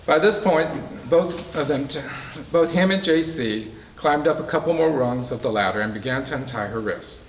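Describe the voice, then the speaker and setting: A man reading his own prose fiction aloud to a live event, halting and emphatic, stumbling over words now and then. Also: a little high, lively intonation. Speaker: author reading from own book. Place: bookstore reading event, indoors. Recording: roomy, distant mic, faint background noise.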